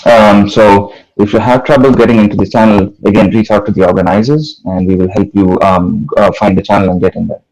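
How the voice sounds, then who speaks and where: Event organizer, man, lowish voice, halting announcements full of fillers. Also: fairly even intonation, darkish tone, rough recording. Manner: halting, many uh and um.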